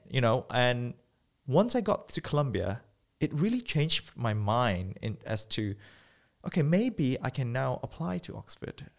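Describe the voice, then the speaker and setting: Earnest man from Malaysia hosting a podcast. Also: medium pitch. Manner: earnest, storytelling. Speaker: podcast host. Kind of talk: casual interview talk.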